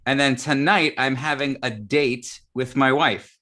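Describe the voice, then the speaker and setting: Middle-aged American male host, very bright, forward voice, informal and enthusiastic. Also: mid-range voice, fairly even intonation, a little slow. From Arizona, United States. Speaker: talk show host. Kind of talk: live casual chat show hosting.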